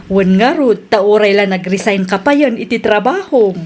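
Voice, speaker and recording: A woman's voice: medium pitch, lively intonation, moderate pace, a little mellow, lightly acted. An actress with an Ilocano accent playing a fond mother and doting grandmother in a radio drama. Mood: fond, approving.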